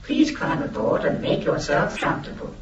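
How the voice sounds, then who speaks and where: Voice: normal speed, over music, lightly acted. A performer playing a polite automated tour guide in a science fiction radio drama, with formal, repetitive, scripted courtesy. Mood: bland, courteous. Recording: dull, noisy radio drama recording.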